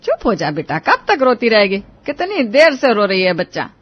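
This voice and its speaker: A woman's voice: mid-range voice, wildly swooping pitch, normal speed, muffled recording. A woman voicing a resigned mother in a family story drama, soothing and consoling her child. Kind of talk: Hindi moral-story audio drama.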